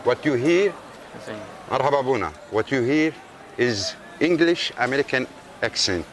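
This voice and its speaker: A man's voice: medium pitch, expressive, a little slow. A man with an Arabic accent, a tour guide who speaks Arabic natively, walking visitors through a busy outdoor market and insistently pointing things out. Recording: loud street crowd behind.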